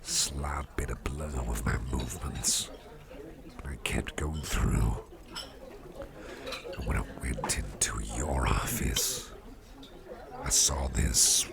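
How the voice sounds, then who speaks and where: Man playing a gravelly, extremely deep-voiced friendly boss. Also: full character voice. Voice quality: very deep, gravelly, breathy half-whisper. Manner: hesitant, repetitive, gently rambling. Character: friendly, affectionate, rambling male office boss at a party. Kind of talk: ASMR roleplay, intimate personal attention. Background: music.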